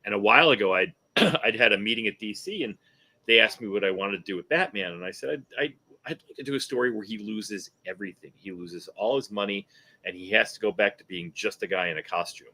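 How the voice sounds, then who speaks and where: A man's voice: fairly low pitch, fast talker, pushed and bright. A middle-aged man talking casually as a guest filmmaker on a panel.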